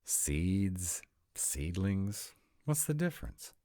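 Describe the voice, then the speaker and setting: A very deep, dark-toned male vampire, acted by a man for a roleplay audio drama. He is sarcastic and grudgingly devoted, with lively swings in his low voice and a dry, reluctant delivery. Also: extremely slow, dry recording, full character voice. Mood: incredulous. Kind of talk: scripted roleplay audio drama, one side of a conversation.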